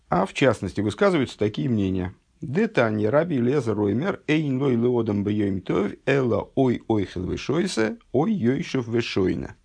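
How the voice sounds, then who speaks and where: A man teaching a text study lesson, reading source passages slowly and then explaining them, just recovered from an illness. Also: on the low side, vocal fry, a little mellow, breathy edge. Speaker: religious teacher.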